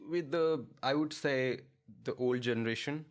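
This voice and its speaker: A man's voice: medium pitch, normal speed. A young man from India with an Indian accent, chatting in an interview in English, his second language, with many fillers and restarts. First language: not English. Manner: hesitant, many fillers and restarts, laughs easily. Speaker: guest, international student. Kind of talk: casual interview chat.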